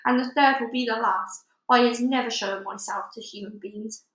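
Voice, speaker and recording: A big, gentle male giant from a storybook, played by a woman reading aloud. He is gloomy and speaks in muddled, folksy grammar. The voice sits fairly high and rings in an echoey room. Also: steady tone, normal speed, natural voice. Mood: gloomy, resigned. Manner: garbled, ungrammatical folksy speech.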